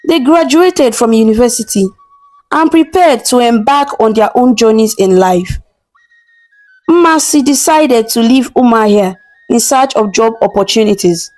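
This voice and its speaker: A woman with a somewhat high-pitched voice tells a scripted folktale. Her storytelling is measured and expressive, with faint music playing behind her. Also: poor audio.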